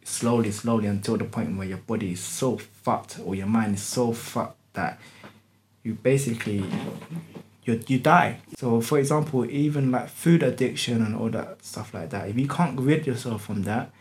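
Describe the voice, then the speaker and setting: A man's voice: mid-range voice, normal speed, darkish tone, a little hiss or hum. A young man, talking candidly in a casual podcast chat, swearing and using street slang.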